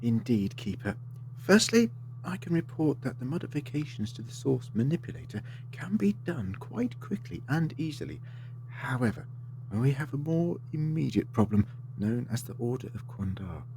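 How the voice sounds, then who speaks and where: A man's voice: medium pitch, big pitch swings, normal speed, background noise. A man acting in an audio drama as a polite, assured time-travelling adventurer, articulate and theatrical with a wry streak. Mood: earnest.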